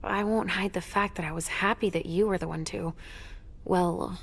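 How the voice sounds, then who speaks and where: A woman's voice: lowish voice, steady tone, normal speed, vocal fry, breathy edge. A woman playing a troubled, fragile vampire mother in a dramatic game cutscene, with a hesitant, emotional delivery. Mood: tender. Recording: faint music behind the voice.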